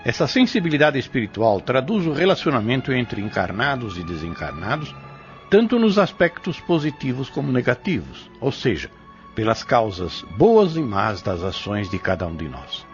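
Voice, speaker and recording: A man's voice: mid-range voice, big pitch swings, moderate pace. Male Brazilian radio presenter, didactic and solemn, widely varied intonation, dull recording. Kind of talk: scripted, solemn didactic narration. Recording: dull audio, music behind. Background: music.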